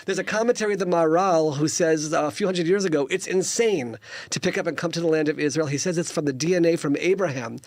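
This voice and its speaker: A man's voice: on the high side, fast talker, no room sound. A man in his fifties, originally from the United States, earnest and preachy as a studio interview guest, often restarting his sentences. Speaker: guest, politician.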